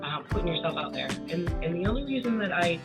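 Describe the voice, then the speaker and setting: A woman speaking as an interview guest in an earnest, halting, personal way. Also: on the low side, lively intonation, loud music behind the voice. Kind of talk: casual personal chat.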